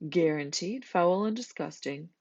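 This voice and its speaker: A woman's voice: fairly low pitch, lively intonation, measured pace, darkish tone. A woman voicing a proud little goat peddler in a children's storybook, boasting like a salesman.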